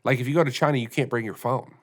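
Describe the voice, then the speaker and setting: A man's voice: mid-range voice, fairly even intonation, racing. Rambling American man, casual podcast chat. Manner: rambling, many restarts and fillers. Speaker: podcast co-host.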